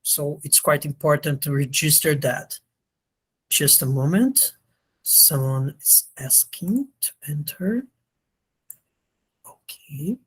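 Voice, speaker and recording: Slow-talking lecturer with an under-the-weather voice. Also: medium pitch, husky. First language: not English. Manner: hesitant non-native English, checks understanding with frequent "okay?". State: slightly sick, voice not at its best. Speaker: university lecturer. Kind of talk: online lecture, explanatory. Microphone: video call.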